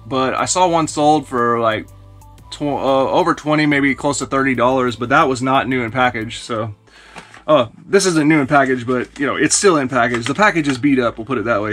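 A man in his forties casually talking through thrifted toys in a small shelf-lined room, relaxed and rambling with many fillers. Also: mid-range voice. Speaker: toy reseller vlogger. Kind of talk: casual haul video. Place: small indoor toy room lined with shelves.

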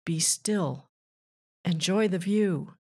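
Slow-paced woman reading aloud to children. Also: fairly low pitch, darkish tone, husky. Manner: measured, deliberate phrasing addressed to children. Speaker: children's author and narrator. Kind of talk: read-aloud of a rhyming children's nature book.

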